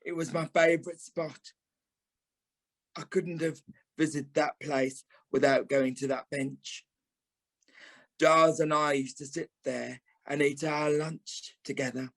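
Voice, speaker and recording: An actor playing a sentimental, camp middle-aged British performer in an audio play, with a theatrical, affectionate manner. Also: male voice, on the high side, fairly even intonation, slow pace, a bit bright, full character voice. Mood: fondly nostalgic. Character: sentimental, camp older male performer, wry and fond.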